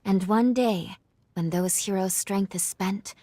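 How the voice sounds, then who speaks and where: A woman acting a gentle, solemn young female guide in a video game cutscene, speaking in a formal, earnest, measured way. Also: mid-range voice, no room sound. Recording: music under the voice. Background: background music.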